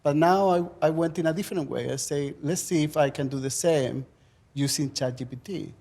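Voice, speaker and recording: A man's voice: a little high, moderate pace, a little mellow. A man from Chile with a thick Chilean Spanish accent, a native Spanish speaker, talking earnestly as a faculty panelist.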